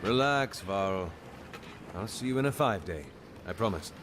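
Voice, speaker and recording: An actor playing a wry, confident gentleman adventurer with a dry, teasing, nonchalant manner in a fantasy video game. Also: on the low side, expressive, unhurried, background noise. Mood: teasing, reassuring. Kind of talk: dramatic video game voice acting. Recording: music behind the voice.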